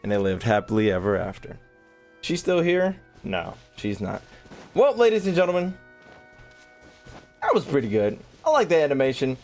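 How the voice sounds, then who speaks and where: A man's voice: mid-range voice, big pitch swings, moderate pace, pauses often, darkish tone. A man doing casual, joking gaming commentary, with a slangy, irreverent and playful manner. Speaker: gaming YouTuber. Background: game music.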